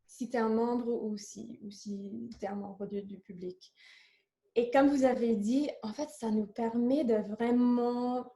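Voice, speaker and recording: A woman's voice: fairly high pitch, steady tone, slow pace, vocal fry, mellow. A woman who is not a native French speaker, presenting her organization on a video call, hesitant, with many fillers and slips into English. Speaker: program lead at a coworking organization. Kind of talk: online panel presentation.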